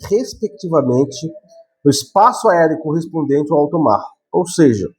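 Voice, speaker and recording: A man's voice: on the high side, mellow. A Brazilian man explaining law in a conversational lecture, often adding tag questions and correcting himself as he goes. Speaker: law teacher.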